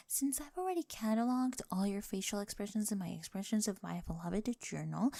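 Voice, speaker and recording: A woman voicing a quirky, obsessive young bride in a soft ASMR roleplay, precise and analytical, often whispering. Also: mid-range voice, gravelly, no room sound. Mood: eager, hushed. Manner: precise, analytical, jargon-heavy speech. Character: quirky, obsessive, nerdy young bride devoted to her partner. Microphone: close, dry microphone.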